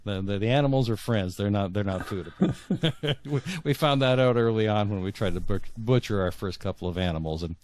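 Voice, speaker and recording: Lowish-voiced American man, halting and conversational. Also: darkish tone, slight background noise. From northwest Iowa, United States. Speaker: podcast guest, fiction author. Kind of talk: casual conversational interview answers.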